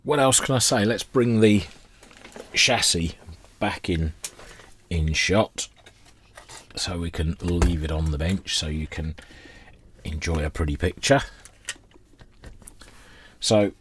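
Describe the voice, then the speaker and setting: A man's voice: sits low, slow, long pauses, fairly bright tone, breathy edge. A middle-aged man chatting in a rambling, self-deprecating way as he gives a casual unscripted demonstration at his workbench. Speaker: hobbyist presenter restoring vintage radios. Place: indoor workbench.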